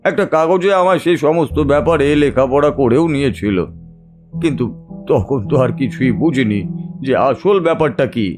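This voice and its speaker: A man acting a distressed, cheated family man in an audio drama, telling his troubles haltingly and seeking agreement. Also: mid-range voice, a little mellow, faint music behind, close to an everyday voice. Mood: troubled, explaining. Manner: confiding, halting, seeks agreement with tag questions. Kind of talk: Bengali audio detective drama monologue.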